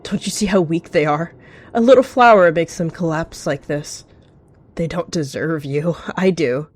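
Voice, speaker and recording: A woman's voice: sits low, unhurried. A woman voicing an evil rogue cat, intense, possessive and scornful, in a roleplay drama over music. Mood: cold contempt.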